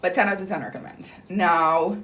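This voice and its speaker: A woman's voice: fairly low pitch, fairly even intonation, normal speed, fairly bright tone, a little hiss or hum. A young woman hosting a casual livestream, chatting in a bubbly, slangy way with lots of "like" and "um" as she reads viewer comments. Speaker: livestream host promoting clinic deals.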